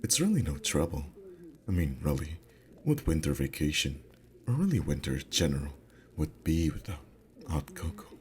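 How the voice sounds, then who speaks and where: Man playing a very deep-voiced, affectionate boyfriend. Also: big pitch swings. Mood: cozy, affectionate. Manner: soft, intimate, unhurried, teasing. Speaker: ASMR roleplay voice actor. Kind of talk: intimate ASMR boyfriend roleplay. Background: music.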